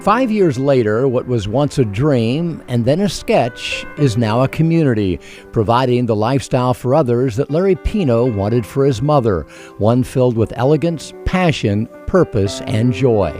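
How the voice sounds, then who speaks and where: A man's voice: medium pitch, expressive, moderate pace, darkish tone, faint music behind. A man narrating a scripted television feature as one of the show's hosts. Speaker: TV host and narrator.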